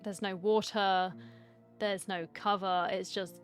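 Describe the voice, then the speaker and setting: A woman's voice: medium pitch, flat delivery, slow. A woman, chatting casually as she plays and reacts to a video game. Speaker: gaming streamer. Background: game music.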